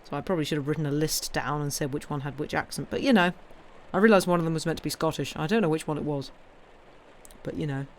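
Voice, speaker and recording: A woman's voice: deep voice, fast talker. A woman streaming live, chatting casually with her viewers between ghost stories, apologetic and self-deprecating, with plenty of fillers and restarts. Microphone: close desk mic.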